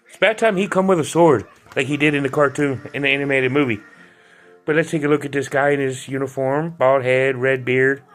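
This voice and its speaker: A man's voice: medium pitch, a bit bright. A man giving a loose, chatty video review of an action figure, with frequent restarts and fillers. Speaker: toy reviewer.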